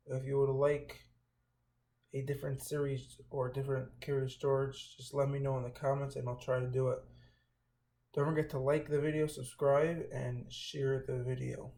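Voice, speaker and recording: Creaky-voiced man reading a storybook. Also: mid-range voice, level tone, moderate pace, dark tone. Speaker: read-aloud host. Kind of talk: children's storybook read-aloud.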